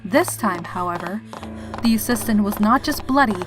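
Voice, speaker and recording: A woman's voice: mid-range voice, lively intonation, normal speed, poor audio. A woman reading a horror story aloud as a scripted, dramatic narrator. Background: background music.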